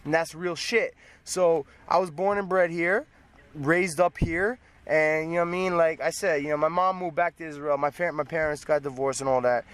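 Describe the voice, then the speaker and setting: A man's voice: a little high, steady tone, moderate pace, fairly bright tone, smooth. A man from Toronto with a Toronto accent, talking casually and emphatically in street slang as a rapper being interviewed, often repeating himself. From Toronto, Canada. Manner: emphatic, repetitive, peppered with slang. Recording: noisy outdoor street with traffic.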